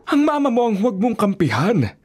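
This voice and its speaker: An actor playing an elderly, steadfast father in love in a Filipino radio drama, speaking haltingly and placatingly. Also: high voice, huge pitch swings, breathy edge, exaggerated voice. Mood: earnest, firm. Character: elderly widely-disapproved lover, a pleading, steadfast father.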